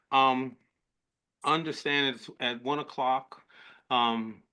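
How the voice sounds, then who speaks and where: A man's voice: mid-range voice, bright, forceful tone. A man hosting an online panel, casual, upbeat and jokey, often repeating his words. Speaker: webinar host. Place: online webinar call.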